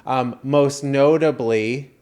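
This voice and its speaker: A man's voice: medium pitch, slow pace. Man telling stories in dramatic, chatty podcast style. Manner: animated, dramatic storyteller who acts out reactions and quotes. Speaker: podcast co-host. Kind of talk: casual comedic storytelling chat.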